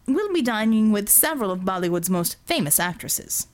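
A woman's voice: medium pitch, very animated, normal speed, lightly acted. A woman voicing a deferential, fretful Indian businessman in an audiobook, formal and hesitant in manner. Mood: smug. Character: anxious, deferential Indian businessman, stiff and easily offended.

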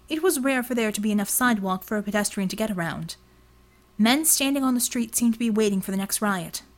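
A woman reading scripted fiction as an audiobook narrator. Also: a little high.

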